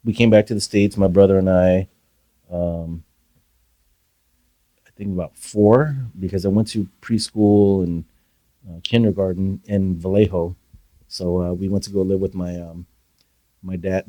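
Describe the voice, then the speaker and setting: A man guest on a podcast, telling his childhood story in a soft, hesitant way with many pauses. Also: deep voice, level tone, no echo, poor audio. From Philippines. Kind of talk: reflective personal storytelling.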